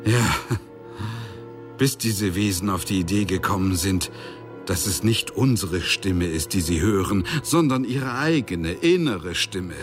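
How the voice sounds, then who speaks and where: An actor in a radio play playing an aggrieved, lecturing superior of the angels, emphatic and indignant. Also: male voice, low-pitched, measured pace, music in the background.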